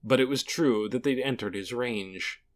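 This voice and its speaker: A man's voice: medium pitch, moderate pace. A man narrating a fantasy audiobook in a dramatic, scripted storytelling style.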